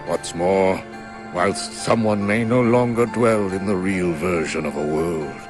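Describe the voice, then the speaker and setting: An actor playing a grave, wise old sorcerer in a game, explaining in a measured, formal manner. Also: male voice, deep voice. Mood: solemn, instructive. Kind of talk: solemn expository game voice-over. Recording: music behind the voice.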